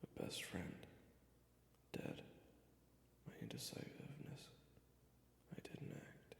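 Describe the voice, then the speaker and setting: A man playing an impatient, burdened young royal in an audio drama, intense and dramatic, with a breathy, creaky voice. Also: takes it slowly, whispered, close to an everyday voice. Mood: haunted, despairing. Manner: intense, dramatic, swings between shouts and hushed murmurs. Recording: echoey hall reverb, faint music underneath.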